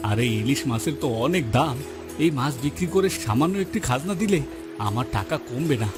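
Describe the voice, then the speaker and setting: A man's voice: on the high side, expressive, fairly quick, over music, lightly acted. A man voicing an earnest, humble poor village fisherman, a husband and father, in a cartoon story. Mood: delighted. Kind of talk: Bengali moral story cartoon dubbing.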